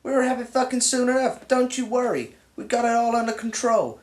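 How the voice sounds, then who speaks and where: An actor playing a brash, crude young American man who is the president's son, talking loud, profane and full of swagger. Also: very high voice, fairly quick, distant mic. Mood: ranting. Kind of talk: mockumentary comedy acting. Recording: roomy echo, off-mic.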